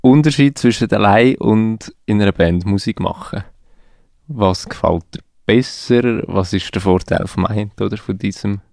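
A Swiss-accented man co-hosts a podcast, asking questions in a calm, plain way. His voice is fairly low, and the sound is dry and close. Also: normal speed, creaky, no room sound. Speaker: podcast co-host. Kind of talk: casual chat.

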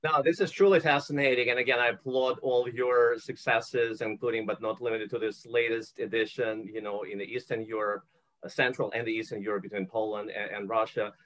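A man from Ukraine hosts an interview, winding through long questions with plenty of "uh" and "you know". His voice sits fairly low. He laughs easily between thoughts. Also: steady tone, fairly quick, fairly bright tone, low-quality recording. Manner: long rambling questions with many fillers. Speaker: host. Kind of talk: interview podcast.

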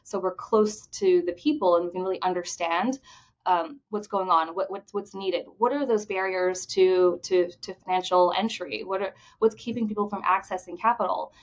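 A woman from the Bahamas speaks as a guest in an interview, fast and eager, often stumbling over repeated words. Her voice sits a little low. The audio is dull and lo-fi. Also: steady tone. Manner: enthusiastic, fast talker with repeated restarts. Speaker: credit union executive, podcast guest. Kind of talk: conversational interview answers.

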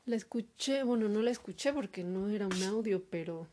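A woman talking alone in a hesitant, reflective monologue, full of fillers and pauses. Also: medium pitch, noisy background. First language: Spanish. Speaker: podcaster. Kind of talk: personal monologue.

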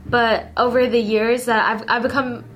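A woman's voice: fairly high pitch, level tone, moderate pace, fairly bright tone, slight background noise. A young woman in her late teens, a social media creator, chatting casually as an interview guest and filling her talk with "like". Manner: chatty, lots of "like" fillers, upbeat.

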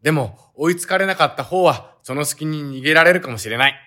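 A man's voice: fairly high pitch, expressive, quick pace, bright, forceful tone. A man playing an earnest, panicky young sightseer in a comedic radio drama, speaking in wordy, melodramatic lines. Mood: uneasy, matter-of-fact.

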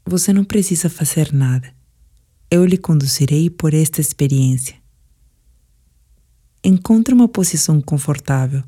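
A Brazilian woman narrating a guided sleep meditation in a calm, soothing, measured way, with soft, breathy phrase endings. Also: low voice.

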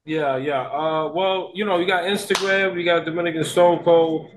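A man chatting casually as a podcast guest, excitable and playful, with slangy talk. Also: on the high side, normal speed, noisy background. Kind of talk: casual chat.

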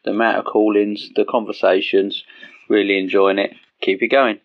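A man's voice: on the low side, flat delivery, normal speed, creaky. A man leaving a casual congratulatory voice message for a podcast. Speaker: podcast call-in guest. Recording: dull lo-fi voice message.

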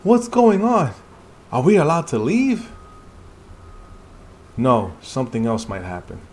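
A man's voice: mid-range voice, huge pitch swings, slow pace, darkish tone, voice pushed up. A man voicing anxious, wary dungeon hunters as he reads comic dialogue aloud dramatically. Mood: alarmed.

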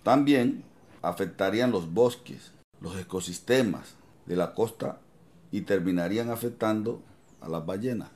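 A man's voice: lowish voice, unhurried, a little mellow, close to an everyday voice. A man acting an earnest coastal villager in an educational radio drama, reasoning aloud.

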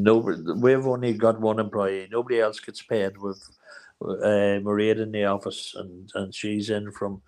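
Man, seventies, Northern Irish accent, slightly low pitch, plain-spoken, conversational interview guest, repeats himself. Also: low-quality recording. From Ireland. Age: 70s. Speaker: interview guest, charity founder.

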